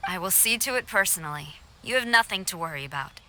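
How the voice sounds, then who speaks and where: An actress playing a stern, dutiful female army general in a video game, speaking in a formal, measured, authoritative way. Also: fairly low pitch, expressive, very noisy, natural voice. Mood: composed, assured.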